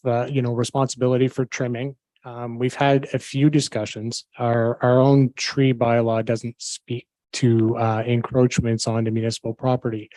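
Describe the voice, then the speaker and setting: Flat-voiced public works official. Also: male voice, mid-range voice, no echo, poor audio. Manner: measured, bureaucratic, many ums. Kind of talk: staff answer at council meeting. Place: council chamber.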